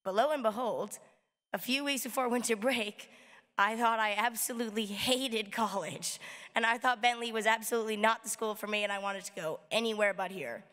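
A hoarse young woman with a raspy voice, giving a casual, chatty welcome speech as student government president at a lectern mic. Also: a little high, normal speed. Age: young adult. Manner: casual, chatty, lively gestures. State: hoarse from screaming. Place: large arena, indoors. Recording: long hall reverb.

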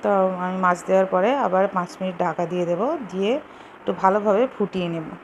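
A woman's voice: lowish voice, little pitch movement, quick pace, muffled recording. A woman giving casual, step-by-step cooking instructions in simple words, as host of a home recipe video. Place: home kitchen. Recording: clearly noisy home kitchen recording.

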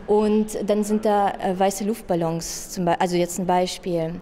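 A woman's voice: mid-range voice, fairly even intonation, a little slow, mellow. A young woman in her twenties explaining her exhibition concept, hesitant with many fillers, into a handheld mic in an exhibition hall. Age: 20s. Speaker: design graduate. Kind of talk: interview at an exhibition. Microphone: handheld interviewer mic.

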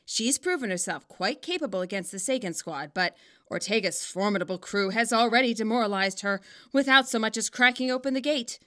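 A woman narrating a scripted story in an expressive, wryly dramatic way. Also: on the high side, a little fast. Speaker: author narrating own fan fiction.

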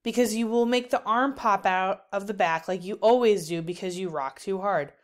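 A woman's voice: mid-range voice, moderate pace, natural voice. A woman voicing an exasperated fifth-grade boy, a bossy and curt big brother in a storybook. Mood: irritated. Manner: curt, dismissive toward his sister.

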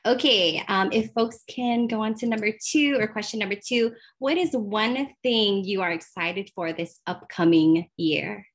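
A woman's voice: mid-range voice, measured pace, few pauses. A woman moderating a student panel over a video call, friendly with many fillers.

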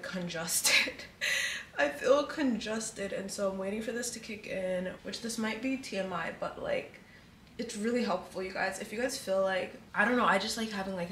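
A young woman in a relaxed at-home vlog, talking easily to her viewers and leaning on filler words as she goes. Her voice sits at an ordinary middle pitch for a woman. The room around her sounds roomy, with a faint hum of ambience underneath. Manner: chatty, relaxed, speaks straight to viewers with filler "like". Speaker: lifestyle vlogger. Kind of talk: casual vlog chat to camera. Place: indoors at home, large room.

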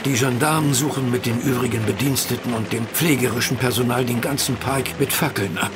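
An actor playing a keen, restless gentleman detective in a German audio drama, expressive and precise. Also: medium pitch, brisk, over music, close to an everyday voice. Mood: alarmed.

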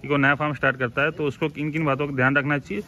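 A man's voice: a little high, little pitch movement, rapid-fire, pushed and bright, muffled recording, a little hiss or hum. A man interviewing someone casually, asking questions, summing up and agreeing with the answers. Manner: agreeable, often echoes and confirms the answers.

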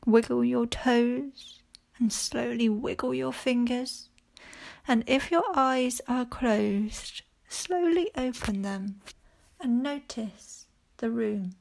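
Woman, teacher, guided body scan for children, fairly high pitch, very slow pace, calm gentle instructions, long pauses. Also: vocal fry. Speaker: primary school teacher leading a guided meditation.

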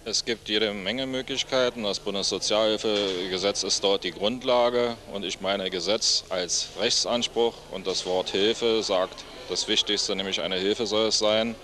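A middle-aged man giving measured, factual interview answers in official wording, speaking into a handheld microphone. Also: on the low side, fairly even intonation, bright, forceful tone. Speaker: interview guest, head of a homeless hostel. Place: seated among plants in a senior citizens' centre.

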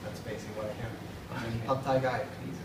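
A man's voice: mid-range voice, steady tone, quick pace. A man in his thirties from Sweden, a native Swedish speaker, answering interview questions in a thoughtful, hesitant way, sitting in a school corridor. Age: 30s. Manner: thoughtful, hesitant with fillers and restarts. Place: school corridor with plastic chairs. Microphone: far camera. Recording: echoey hallway from far off, noisy.

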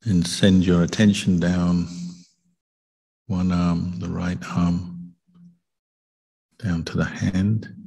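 A man leading a guided meditation as a teacher, speaking calmly and gently with deliberate pauses between short phrases. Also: deep voice, vocal fry, breathy edge. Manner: calm, deliberate, gentle instruction with long pauses. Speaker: Buddhist monk meditation teacher.

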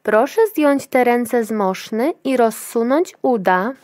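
An actress playing a brusque, officious clinic attendant in a dystopian future audio drama, clinical and commanding. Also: female voice, fairly high pitch, slow pace, darkish tone. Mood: stern, officious.

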